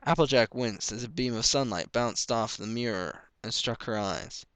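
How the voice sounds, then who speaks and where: A man's voice: medium pitch, normal speed, fairly bright tone, smooth, no room sound. A man reading a story aloud as scripted third-person narration. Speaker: story reader.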